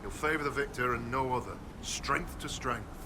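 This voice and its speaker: A man's voice: moderate pace, natural voice. Man acting a proud warrior trainer, noisy surroundings. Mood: stern, taunting. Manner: stern, taunting, declarative. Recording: clear ambient rumble behind voice.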